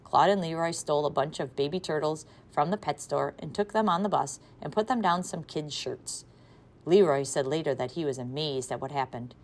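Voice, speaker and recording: A woman teacher reading a children's novel aloud to a class, with expressive, varied loudness. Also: sits low, moderate pace. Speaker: third grade teacher.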